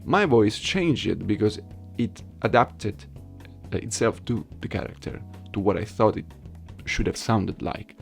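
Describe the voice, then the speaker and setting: A man with a fairly deep voice and a lot of fry talks casually to viewers. He is soft-spoken and takes his time, often halting as he explains his amateur voice work. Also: very animated, faint music behind. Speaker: amateur voice actor presenting his challenge video.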